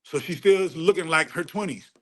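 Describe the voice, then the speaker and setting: A middle-aged man on a casual livestream rant, preachy and profane, repeating phrases for emphasis and climbing sharply in pitch when he gets heated. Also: fairly quick, pushed and bright. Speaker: livestream host answering chat.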